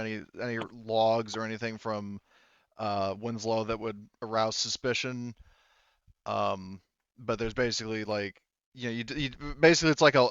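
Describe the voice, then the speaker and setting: A man's voice: fairly low pitch, a bit bright, smooth, no room sound, highs cut off. A man casually narrating an online tabletop game as game master, hesitant and rambling, with many false starts.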